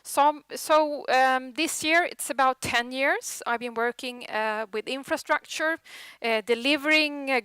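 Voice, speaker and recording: A woman's voice: high voice, a bit bright, clean tone, no room sound. A Swedish woman in her late forties with a Swedish accent, giving a chatty, self-deprecating conference talk with many fillers. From Sweden. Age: 40s. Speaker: conference speaker. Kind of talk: conference presentation. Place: conference room.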